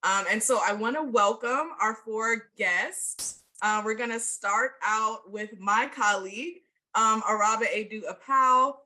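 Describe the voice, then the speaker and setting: A woman's voice: on the high side, measured pace, pushed and bright, low-quality recording. A woman hosting and moderating an online panel discussion, introducing the guests in an enthusiastic, casual way with frequent fillers. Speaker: host, moderator.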